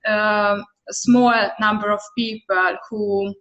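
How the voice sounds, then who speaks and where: A woman's voice: mid-range voice, flat delivery, slow, a bit bright, highs cut off. Woman with a Hungarian accent presenting. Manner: earnest, occasional hesitations. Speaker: conference presenter. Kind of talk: presentation with audience Q&A.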